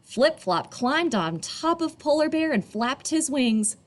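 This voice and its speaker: A woman reading a picture book aloud to children expressively, in a teacherly way, pausing for young listeners. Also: sits high, big pitch swings.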